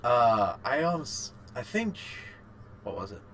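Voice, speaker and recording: Guy cohosting a nerdy podcast, bright forward voice, loose and a bit sweary, some noise behind him. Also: medium pitch, big pitch swings, slow. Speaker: podcast co-host. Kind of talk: casual chat about games and films.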